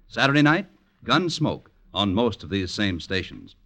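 A man's voice: fairly low pitch, very animated, unhurried, fairly bright tone. A man working as a 1950s network radio announcer, with a polished promotional delivery.

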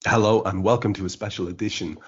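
Deep-voiced podcast host. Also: male voice, steady tone, quick pace, husky, dull audio. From Ireland. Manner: chatty and jokey, laughs easily. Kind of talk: casual interview chat. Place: outdoors in a rural field.

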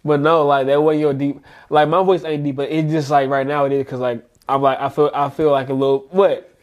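A man in his twenties chatting casually with friends, animated and laughing often, sounding congested. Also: a little high, a little fast, dull audio. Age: 20s. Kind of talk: casual chat among friends.

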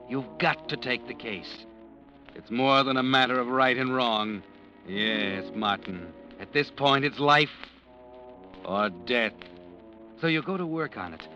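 An actor playing an ominous narrator who addresses the hero directly as a grave, accusing inner voice in an old radio drama. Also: male voice, mid-range voice, bright, forceful tone, lightly acted. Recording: dull old radio recording. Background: faint music.

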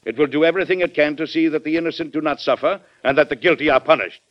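An actor playing a forceful, folksy American president in an old-time radio drama, speaking emphatically. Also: male voice, on the high side, fast talker, close to an everyday voice. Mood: stern, indignant. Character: forceful, folksy American president, firm yet good-humored. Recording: dull vintage radio recording.

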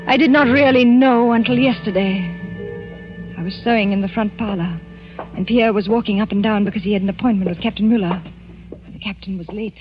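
An actress playing a frightened, tense wife in a 1940s radio suspense drama, speaking in a halting, dramatic way. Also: female voice, mid-range voice, close to an everyday voice. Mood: uneasy. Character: frightened, tense wife of a murderous doctor in wartime France. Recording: dull old 1940s radio recording with music.

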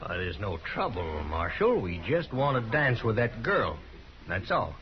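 Sly, deep-voiced gunman. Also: sing-song, measured pace. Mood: sly, insistent. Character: tall, sly gunman feigning peaceable innocence. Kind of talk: 1950s radio Western acting. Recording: muffled old radio, crowd behind.

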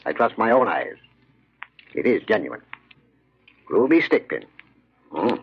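Smug miserly shopkeeper, old radio drama, husky and kinda low, swoops around, condescending, muffled recording. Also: male voice, huge pitch swings, heavily acted. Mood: shrewd, haggling. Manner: deliberate, dry, condescending. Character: sly, miserly male shop dealer, smug and mocking. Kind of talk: 1940s radio horror acting.

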